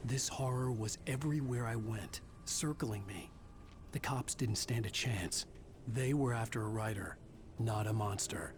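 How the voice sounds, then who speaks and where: A man's voice: fairly low pitch, unhurried, noisy background. A man playing a brooding, weary writer who narrates his thriller ordeal in a video game, grave and introspective. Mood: grim. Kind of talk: scripted first-person game narration. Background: background music.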